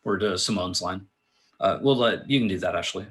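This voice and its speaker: A man narrating stage directions at a table read, in a halting, matter-of-fact way. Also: deep voice, a bit bright. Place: remote video call.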